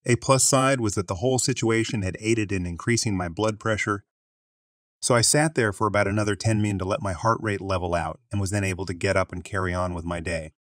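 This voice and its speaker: A man narrating online stories aloud in a steady, even scripted reading voice. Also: on the low side, fairly quick, no echo, clean studio sound.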